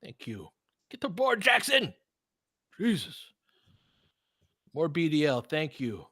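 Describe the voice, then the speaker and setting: A man doing casual fan play-by-play of a game as a host, in an excitable, slangy style with some swearing. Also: a little high, takes it slowly, no echo, clean studio sound. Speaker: sports podcast host calling a game.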